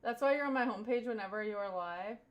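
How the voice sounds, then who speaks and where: A woman's voice: mid-range voice, quick pace. A young woman from Kentucky with a light Southern US accent, live-streaming, chatting casually, and thanking her viewers over and over. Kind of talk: casual chat reading viewer comments.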